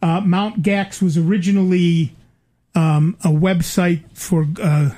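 A man's voice: high voice, fairly even intonation, takes it slowly, dark tone. Older guy chatting casually, lots of uh and um, wry little jokes, starts over a lot. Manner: rambling, many fillers and restarts, wry asides. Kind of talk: casual chat.

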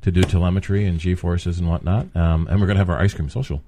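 A man co-hosts a radio talk show in a laid-back way, laughing often. His voice is very low, and a faint hiss sits behind it. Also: steady tone, quick pace, dark tone. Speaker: radio co-host. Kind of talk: casual radio banter.

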